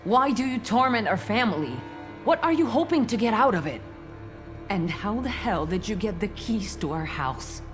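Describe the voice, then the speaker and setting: A woman's voice: medium pitch, big pitch swings, normal speed, lightly acted. An actress playing a strong-willed, troubled sister in a dramatic video game, earnest in her speech. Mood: indignant. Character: anguished, strong-willed sister of an accused gentleman. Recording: music under the voice.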